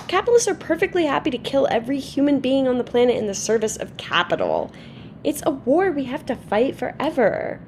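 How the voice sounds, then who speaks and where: A woman's voice: very animated, a little fast, a little mellow. A woman playing a militant, combative socialist with sardonic, argumentative delivery in a staged play reading. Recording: faint noise and rumble.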